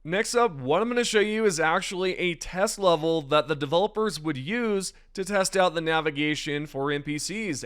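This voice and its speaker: Enthusiastic man with a slightly raspy voice. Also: high-pitched, a bit bright. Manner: enthusiastic, chatty, addresses viewers directly. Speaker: gaming channel host. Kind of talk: casual gaming news commentary over gameplay.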